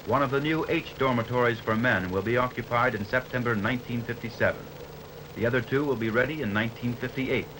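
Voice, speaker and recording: An American man narrating a 1950s newsreel from a script, in a formal, measured announcer's delivery. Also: mid-range voice. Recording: 1950s newsreel soundtrack with faint noise.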